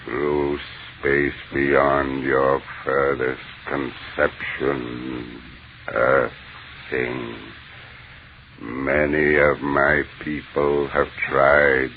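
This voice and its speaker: An actor playing an ancient, contemptuous, menacing alien mind in old-time radio horror, speaking in slow, pompous pronouncements. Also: male voice, extremely low pitch, gravelly, full character voice. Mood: commanding. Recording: muffled, narrow-band old radio broadcast with hiss.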